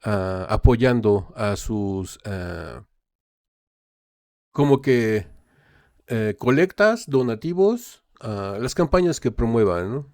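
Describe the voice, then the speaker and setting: A man's voice: lowish voice, expressive, a little mellow, no room sound. A man hosting a reaction video, translating on the fly and hesitating often with fillers. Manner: hesitant, filling pauses with "eh" and "este" while translating. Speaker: reaction channel host. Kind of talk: casual live translation and commentary.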